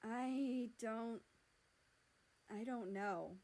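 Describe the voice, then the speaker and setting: A woman's voice: fairly high pitch, very slow, a little mellow, exaggerated voice. A woman voicing a cocky, sarcastic young male character, a teasing big brother with a casual, slangy manner. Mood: sympathetic. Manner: casual, sarcastic, foul-mouthed.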